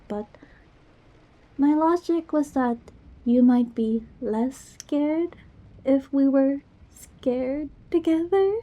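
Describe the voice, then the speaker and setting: A woman's voice: sits high, dull audio. A woman playing a goofy, affectionate young girlfriend in an intimate ASMR roleplay, with a playful, teasing manner.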